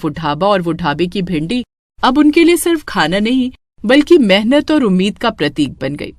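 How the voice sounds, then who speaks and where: Expressive woman narrating a story. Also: medium pitch, moderate pace, no room sound, clean studio sound.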